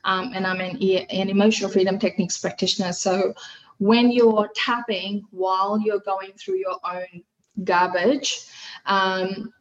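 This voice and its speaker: A woman's voice: medium pitch, measured pace, lo-fi sound. A middle-aged woman from Fiji, whose first language is Hindi, chatting animatedly as a podcast guest with many fillers and restarts. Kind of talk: casual interview chat.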